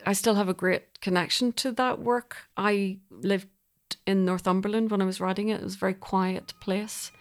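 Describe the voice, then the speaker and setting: A woman's voice: medium pitch, fairly even intonation, moderate pace. A woman speaking as an interview guest, thoughtfully explaining her work as a composer in loose, unfolding sentences. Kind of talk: reflective interview conversation.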